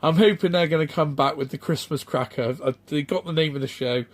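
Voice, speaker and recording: Rambling man, fairly high voice. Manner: rambling, halting, lots of ums and restarts. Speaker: retro game collector vlogger. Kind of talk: casual off-the-cuff vlog chat.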